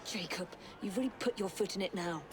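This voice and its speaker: An actress playing a sensible, poised young Victorian adventurer with a crisp, reproving manner. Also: female voice, mid-range voice, a little fast, background noise, natural voice. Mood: exasperated. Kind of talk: video game cutscene acting. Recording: music behind the voice.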